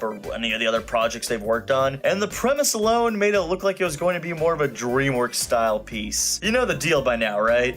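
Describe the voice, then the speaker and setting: A man's voice: fairly high pitch, fairly bright tone. A man giving an opinionated, scripted video review in an emphatic, sarcastic, casually profane way. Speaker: film reviewer. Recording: music playing behind the voice. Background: background music.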